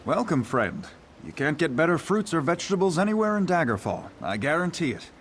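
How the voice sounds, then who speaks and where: An actor playing a guarded, brusque town grocer in a fantasy video game. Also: male voice, fairly high pitch, big pitch swings, brisk, a bit bright, slight background noise. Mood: welcoming. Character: wary, irritable male town grocer.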